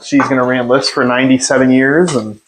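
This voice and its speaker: A man's voice: mid-range voice, little pitch movement, fairly quick. Young male podcast host, unscripted and self-deprecating, filler-heavy delivery, recorded on a phone at home with slight background noise. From United States. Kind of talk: unscripted casual monologue. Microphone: phone on a stand.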